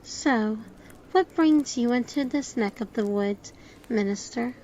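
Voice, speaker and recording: Friendly, chatty landlady, played by a woman, high-pitched, on a dull recording with faint noise. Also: takes it slowly, darkish tone. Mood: gossipy. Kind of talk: amateur audio drama acting.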